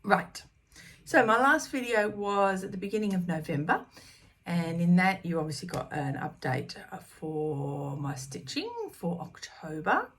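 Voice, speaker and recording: A woman in her fifties chatting casually to camera about her stitching, scattered and full of ums, her voice slightly croaky. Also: fairly low pitch, expressive, measured pace. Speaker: cross stitch vlogger. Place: small room at home.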